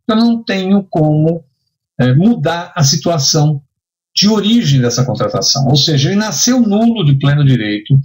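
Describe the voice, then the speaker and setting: A Brazilian man teaches in a conversational, didactic way. His voice sits a bit high for a man and swings widely in pitch. He walks through examples with rhetorical questions and often checks that the listener follows. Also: darkish tone, low-quality recording. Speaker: insurance and risk management instructor. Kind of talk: conversational lecture with hypothetical examples.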